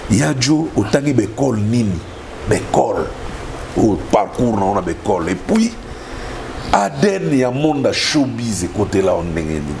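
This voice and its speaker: A man's voice: lowish voice, sing-song, measured pace, gravelly, darkish tone, slight background noise. A man with a Congolese French accent, interviewing a guest in a jokey, chatty way and laughing often. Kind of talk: casual interview, mixing in Lingala.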